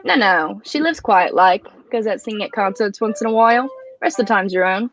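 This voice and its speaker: A woman's voice: medium pitch, expressive, brisk, highs cut off, faint music behind, lightly acted. A woman playing a friendly, gossipy pub keeper, slangy and chatty, in a radio drama. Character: friendly, gossipy working-class pub keeper, former carriage driver. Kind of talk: 1940s radio drama acting.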